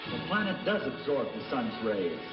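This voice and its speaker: An actor playing a thoughtful space scientist with formal, deliberate phrasing in a dubbed science fiction film. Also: male voice, mid-range voice, sing-song, recorded from a distance, close to an everyday voice. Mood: calm, assured. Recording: echoey, muffled old film soundtrack with music.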